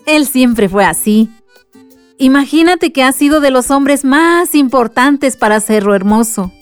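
A fond storyteller of village memories played by a woman in a radio drama. Her voice is smooth and somewhat high, recorded dry over music. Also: lively intonation, unhurried, no echo. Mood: fond, reminiscing.

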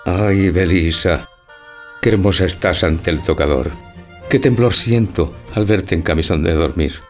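An actor playing a timid, naive older bridegroom in a stage tragicomedy, hesitant, anxious and full of wonder. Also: male voice, sits low, measured pace, soft background music. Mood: awestruck, timid. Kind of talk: theatrical tragicomedy audio drama.

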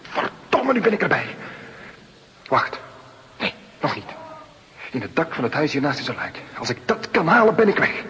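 A desperate burglar on the run in a radio play. He mutters and curses tensely to himself. His voice rings in a long reverb with clear noise around it. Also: fairly high pitch, sing-song, normal speed, pauses often, fairly bright tone. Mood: alarmed. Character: panicking male burglar fleeing over rooftops. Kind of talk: radio drama acting. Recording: noisy, long hall reverb.

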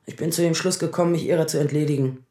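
A woman playing a sharp-tongued, anxious lawyer in her thirties in a naturalistic radio drama, sarcastic and defensive. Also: deep voice, steady tone, racing, roomy sound, natural voice. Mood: bitter sarcasm. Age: 30s.

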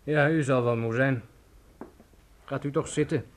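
A man's voice: medium pitch, unhurried, lightly acted. A man playing a courteous host in a Dutch radio play. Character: courteous man hosting a tired guest. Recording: faintly noisy old radio play recording.

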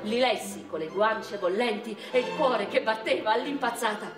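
A woman playing a passionate eighteenth-century noblewoman poet in a theatrical first-person monologue, with deliberate, expressive phrasing. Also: on the high side, natural voice. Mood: wistful recollection. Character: passionate 18th-century noblewoman poet recalling her life. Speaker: stage actress performing a radio theatre monologue. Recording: echoey room sound with music behind.

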